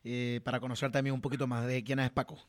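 A man's voice: mid-range voice, level tone, fast talker, no echo, studio quality. A man hosting a radio sports interview, chatty and full of fillers.

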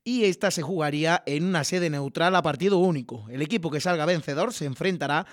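A man presenting a local sports radio program, explaining fixtures in a steady, informative way. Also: a little high, brisk, no echo, studio quality. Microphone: studio microphone.

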